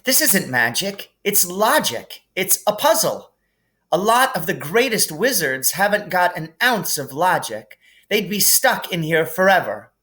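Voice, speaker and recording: A man voicing a bright, earnest schoolgirl witch in a storybook reading, with a brisk, emphatic manner. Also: high voice, big pitch swings, pitched above the performer's own voice, full character voice. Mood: pleased, confident.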